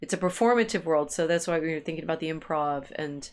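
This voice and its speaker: Lower-voiced woman thinking aloud. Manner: halting, repeats words while searching for phrasing. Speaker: co-host of a discussion stream. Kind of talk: intellectual discussion, thinking aloud.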